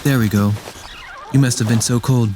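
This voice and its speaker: A man's voice: mid-range voice, fairly even intonation, moderate pace, music in the background, close to an everyday voice. A man playing a tender, smitten robot mechanic and kindly uncle in a radio drama. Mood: gentle, kindly.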